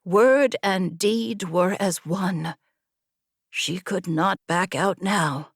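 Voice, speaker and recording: A woman reading a scripted story as an audiobook narrator, with measured, expressive storytelling. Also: lowish voice, vocal fry, slightly rough, no room sound, studio quality. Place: close, dry studio recording.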